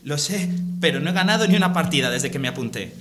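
A man's voice: on the high side, lively intonation, quick pace, fairly bright tone, a little hiss or hum. A man playing an earnest, awkward young superhero in a radio serial, hesitant and theatrical, with an accent from Spain. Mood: nervous. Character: earnest, awkward young male superhero student, Captain Chicken. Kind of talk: Spanish radio serial acting.

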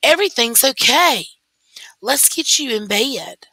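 A woman reading the lines of a patient father in a storybook tale, in a calm, reassuring way. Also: sits high, a little slow, slightly rough, no room sound, voice pushed up, full character voice, rough recording. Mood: soothing.

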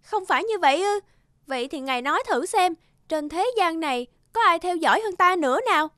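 A woman voicing an arrogant, boastful young peasant woman in an animated fairy tale, haughty and scornful. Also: very high voice, a little fast, no echo, studio quality, exaggerated voice. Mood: haughty, defiant.

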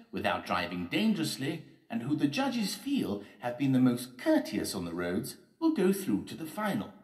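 A man voicing a grand, ceremonious mayor making loud, measured announcements in a children's story. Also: mid-range voice, echoey room, voice pushed up. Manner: loud, measured public proclamation.

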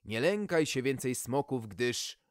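A man's voice: medium pitch, lively intonation, measured pace, no room sound, clean studio sound, close to an everyday voice. A man voicing a proud, pompous, dim-witted knight in a courtly manner for a storybook.

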